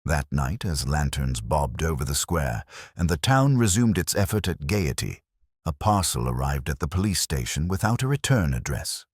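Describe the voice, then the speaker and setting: A man narrating a scripted detective mystery in a measured, dramatic storytelling manner. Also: rumbling low, sing-song, husky, dry recording, clean studio sound. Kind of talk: scripted literary audiobook-style narration.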